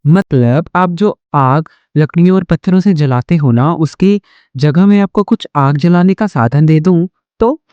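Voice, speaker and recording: Mellow, earnest poor village husband man acting. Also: a little high, a little slow, clean tone, no echo, muffled recording, lightly acted. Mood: persuading. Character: worried poor village husband and father, pleading, resourceful. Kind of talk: animated moral story dialogue.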